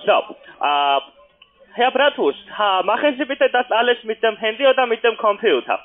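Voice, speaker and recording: A man with a foreign accent in German giving a hesitant, polite sales pitch as a call centre agent, often ending on little questions. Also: sing-song, moderate pace. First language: not German. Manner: hesitant, polite, repeatedly tags sentences with questions. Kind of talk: halting phone sales pitch. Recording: muffled, narrow telephone line.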